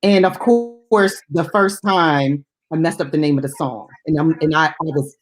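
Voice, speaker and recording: A woman's voice: low-pitched, normal speed. A woman hosting a music show in casual chat, effusive and emphatic about what she likes. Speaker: radio show host and music DJ.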